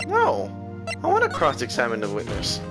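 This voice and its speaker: A man's voice: medium pitch, wildly swooping pitch, moderate pace, music in the background. A man voicing an earnest, indignant young defence lawyer in a video game, emphatic and protesting.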